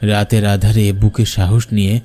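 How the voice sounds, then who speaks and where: A man's voice: on the low side, little pitch movement, normal speed, mellow, faint music behind, lightly acted. A man playing a frightened village showman, narrating his own horror story for a cartoon. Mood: haunted, tense. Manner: dramatic storytelling. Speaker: narrator and main character. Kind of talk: scripted first-person horror cartoon narration.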